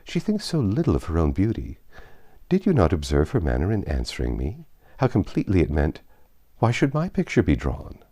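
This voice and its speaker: A man reading a classic novel aloud and voicing a confident young gentlewoman who talks in a poised, lightly ironic way. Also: low voice, huge pitch swings, fairly quick, exaggerated voice.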